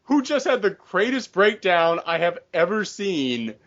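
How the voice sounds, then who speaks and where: A man hosting a podcast, chatting casually and opinionatedly, telling stories in an animated, profane way. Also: sits high, unhurried. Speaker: podcast host. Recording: dull lo-fi voice recording.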